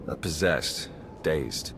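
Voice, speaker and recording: A battle-worn Republic spy in a sci-fi game. His voice is low and his delivery sardonic, stubborn and plain-spoken, with faint noise underneath. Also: lively intonation, takes it slowly, heavily acted. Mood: wry, weary. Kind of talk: video game dialogue acting.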